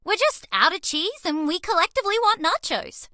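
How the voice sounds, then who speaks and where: Very high, cutesy alien mouse girl. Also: very animated, normal speed, sharp tone, no echo, clean studio sound, full character voice. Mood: earnest. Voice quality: cutesy, girlish. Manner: playful, coy, dramatic exclamations. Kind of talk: video game character voice acting.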